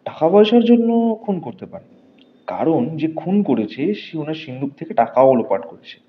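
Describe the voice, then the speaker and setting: A man's voice: a little high, big pitch swings, moderate pace. A man playing an earnest, puzzled younger brother who assists a detective, hesitantly thinking aloud. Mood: puzzled, reasoning. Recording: dull recording with faint noise.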